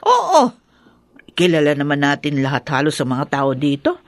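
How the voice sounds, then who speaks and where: A woman's voice: sits low, huge pitch swings, normal speed, full character voice. A woman playing an anxious village mother, fretful and tremulous, in a radio drama. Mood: grave suspicion.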